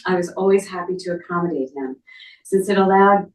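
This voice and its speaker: Middle-aged woman, lowish voice, memorial reader, roomy distant pickup. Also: a little fast, a little mellow. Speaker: memorial speaker, relative of the family. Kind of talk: reading a written tribute aloud. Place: echoey indoor memorial gathering. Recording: echoey room, distant microphone.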